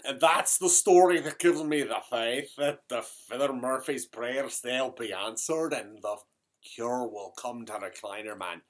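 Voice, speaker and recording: A man's voice: mid-range voice, a little slow, close to an everyday voice. A man voicing a rambling old storyteller with a put-on Irish accent and a broad dialect, tacking "he has" and "he did" onto his lines. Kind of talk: improvised comic monologue.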